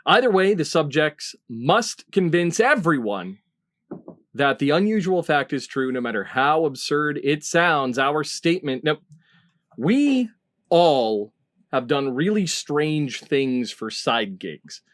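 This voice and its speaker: Female host, casual panel game, expressive delivery, very dry sound with no room. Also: deep voice, slow, no room sound. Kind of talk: reading game rules, casual hosting.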